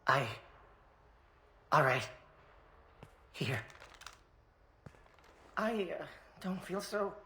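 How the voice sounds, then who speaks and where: An actor playing a frightened, shaky young civilian man in a video game, pleading in a halting manner. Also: on the high side, animated, sharp tone, echoey room, heavily acted. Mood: shaky, faint.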